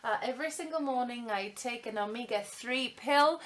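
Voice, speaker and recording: A woman giving a live simultaneous interpretation of a conference welcome speech, halting often with fillers and restarts. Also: on the high side, a bit bright.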